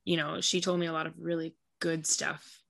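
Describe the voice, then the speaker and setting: Gravelly, bright-toned woman chatting casually. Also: sits low, normal speed. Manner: relaxed, filler-heavy speech with many "like" and "you know". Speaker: professional soccer player, interview guest. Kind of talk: casual interview answers.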